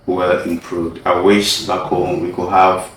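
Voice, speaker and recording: A man's voice: deep voice, level tone, unhurried, fairly bright tone, very echoey, far from the mic, a little hiss or hum. A man from Ghana, a guest in a casual interview, talking earnestly and repeating words for emphasis. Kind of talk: casual conversation.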